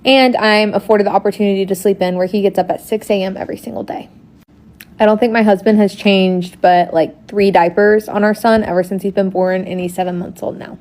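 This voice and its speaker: A young woman in her early twenties speaks to her phone camera in a social media clip. She lays out her views one by one in a plain, matter-of-fact way, at an ordinary mid pitch. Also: fairly even intonation, brisk, darkish tone. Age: early 20s. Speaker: stay-at-home mom.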